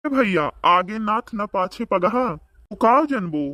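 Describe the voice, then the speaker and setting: A man's voice: high-pitched, animated, slow, bright, forceful tone, no echo, full character voice. A man voicing a boastful, bickering village man in a comedy cartoon, speaking with an Awadhi accent and taunting banter. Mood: mocking. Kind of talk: comedic cartoon dialogue in rural dialect.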